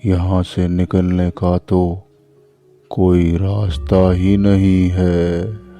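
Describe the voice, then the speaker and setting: A sinister forest spirit in a horror story, speaking in a deep, very dark voice. The words are drawn out very slowly and with menace. Faint music sits behind it. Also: male voice, monotone, full character voice. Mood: gloating menace. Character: sinister, cackling old forest holy man and hungry ghost.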